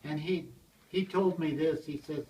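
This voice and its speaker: Older man, slightly high voice, rambling blacksmith storyteller, recorded from across the room on a camera. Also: steady tone, a little slow, warm tone, slight background noise. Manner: rambling, chuckles as he tells it. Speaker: blacksmith telling stories. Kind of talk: casual storytelling to a small group. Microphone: handheld video camera. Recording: distant from the mic, roomy.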